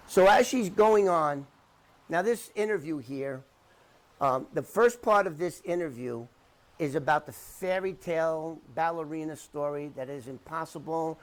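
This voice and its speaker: Emphatic middle-aged man, higher-pitched voice. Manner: emphatic, repetitive, halting delivery. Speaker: interview commentator debunking someone's claims. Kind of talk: unscripted talk to camera.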